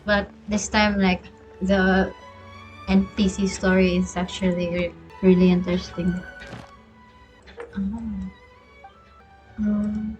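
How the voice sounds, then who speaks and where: A woman game streamer giving casual reaction commentary, halting and trailing off mid-thought. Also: mid-range voice, flat delivery, darkish tone, low-quality recording. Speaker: gaming streamer reacting to a story quest. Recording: game music faintly behind.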